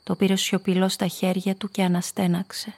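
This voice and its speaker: A woman's voice: mid-range voice, completely flat delivery, vocal fry, a little mellow. An actress playing a frightened female blackmailer who tells her own story intimately in a scripted radio play. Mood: tense, measured. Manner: intimate, confessional first-person storytelling.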